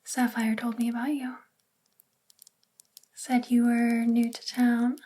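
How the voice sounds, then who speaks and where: A woman playing a sweet witch librarian in an ASMR roleplay, speaking gently in a soft, breathy near-whisper. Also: fairly high pitch, monotone. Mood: gentle, welcoming. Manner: gentle, unhurried, friendly. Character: sweet young female witch librarian in a magical town. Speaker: ASMR performer.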